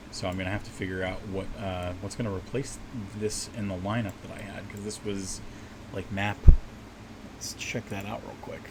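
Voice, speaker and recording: Low, slightly scratchy man streaming from home. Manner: rambling, relaxed, many fillers and restarts. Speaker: livestream host and map maker. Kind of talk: casual livestream chat while working. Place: small home desk setup. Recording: steady air-conditioner hum behind voice. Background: air-conditioning hum.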